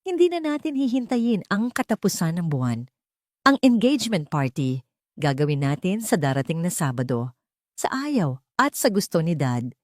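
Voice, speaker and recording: A woman voicing a hot-tempered, defiant young man in love in an audio story, forceful and emphatic. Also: on the low side, big pitch swings, normal speed, no room sound, clean studio sound. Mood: determined.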